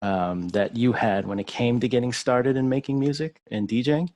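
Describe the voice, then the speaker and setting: A man hosting an interview, asking friendly, rambling questions in a relaxed way. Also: medium pitch, moderate pace. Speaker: interview host. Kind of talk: casual interview.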